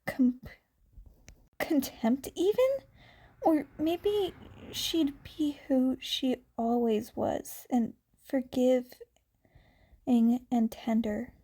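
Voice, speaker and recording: A woman reads a fan fiction story aloud slowly, with quiet, calm narration. Her voice is fairly high and her tone stays mellow and warm. She takes her time over each phrase. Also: husky. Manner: slow, quiet, calm reading. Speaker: podfic reader.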